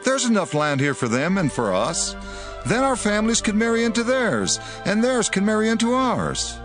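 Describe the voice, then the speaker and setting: Persuasive elder father and chieftain, high, hugely animated delivery, scripture drama. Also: moderate pace, over music. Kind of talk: dramatized audio Bible acting.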